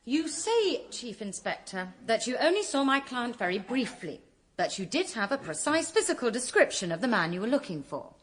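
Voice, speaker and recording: A woman's voice: on the high side, sing-song, normal speed. An actress playing a sarcastic British defence barrister in a radio comedy drama, precise and withering as she cross-examines. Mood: probing.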